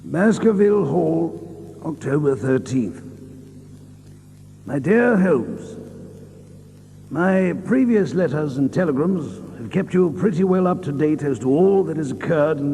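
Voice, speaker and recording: An actor playing an earnest, thoughtful gentleman doctor, measured and formal in an audiobook drama. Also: fairly high pitch, lively intonation. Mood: measured, reflective. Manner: measured, literary, formal phrasing. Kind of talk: dramatized audiobook narration and radio-play acting. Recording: long reverb, music underneath.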